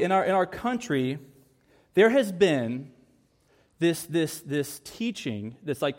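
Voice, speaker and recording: A pastor preaching a sermon to his church. His voice sits on the higher side for a man, and he speaks earnestly, driving points home by repeating them. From United States. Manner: earnest, with emphatic repetition.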